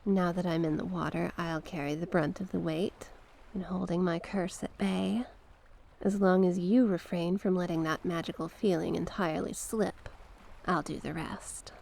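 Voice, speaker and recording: A woman voicing a mysterious tentacled sea witch in an ASMR roleplay, a gentle, coaxing mentor who speaks in formal storybook phrases and sometimes slips toward a whisper. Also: on the low side, gravelly, a little hiss or hum. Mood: soothing, pleased. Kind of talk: ASMR roleplay, slow scripted monologue.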